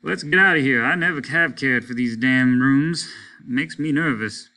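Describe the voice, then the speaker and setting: A man's voice: mid-range voice, normal speed, sharp tone. A man voicing a blunt, middle-aged male doctor who lectures and scolds in a preachy, emphatic way. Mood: uneasy.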